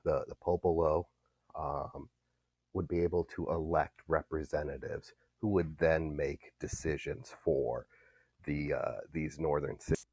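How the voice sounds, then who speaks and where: Very deep-voiced male history teacher. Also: a little slow, breathy edge, no room sound. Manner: steady explaining with fillers. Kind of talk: recorded classroom lecture.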